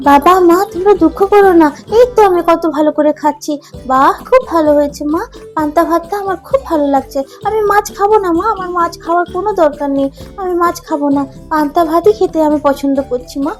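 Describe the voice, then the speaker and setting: A woman's voice: very high-pitched, quick pace. A woman voicing a young poor village boy in a cartoon, tender-hearted and pleading in a plaintive way. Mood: brave, consoling. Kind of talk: cartoon dubbing. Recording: music under the voice.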